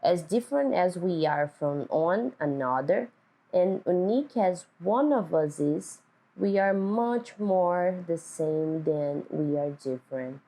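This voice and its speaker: A woman teacher reading a picture book aloud to children, speaking deliberately and putting simple questions to them. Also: deep voice, warm tone, low-quality recording. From Brazil.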